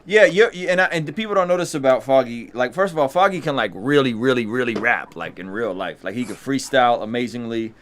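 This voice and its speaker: A man's voice: mid-range voice, lively intonation, brisk, few pauses, fairly bright tone. A man hosting a casual video-call chat, playful and teasing, hyping up his guest and calling on viewers. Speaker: host. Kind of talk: casual live-stream chat.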